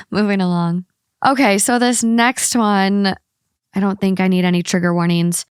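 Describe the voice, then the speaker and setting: A woman's voice: mid-range voice, steady tone, unhurried, smooth, no echo, clean studio sound. A woman hosting a podcast, chatting casually and reading stories aloud. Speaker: podcast host. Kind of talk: casual co-host chat and reading a story aloud.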